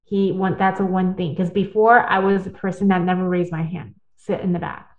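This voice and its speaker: Woman guest, a little low, earnest storyteller; echoey, phone-thin line. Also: little pitch movement, normal speed, highs cut off. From born in the United States, partly raised in Taiwan. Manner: earnest, storytelling, with restarts and tag questions. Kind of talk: casual interview talk.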